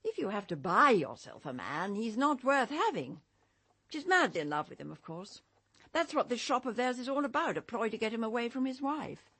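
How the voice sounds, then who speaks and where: An actress playing a haughty, cutting, middle-aged English grande dame of the theatre in a radio drama, speaking with scornful emphasis. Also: female voice, on the high side, sing-song, normal speed, fairly bright tone, breathy edge, exaggerated voice. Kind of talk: BBC radio drama acting.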